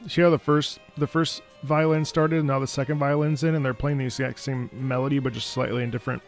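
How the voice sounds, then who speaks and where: A man's voice: medium pitch, flat delivery, fast talker. A man giving analytical live commentary on a video game and its music, as a reactor.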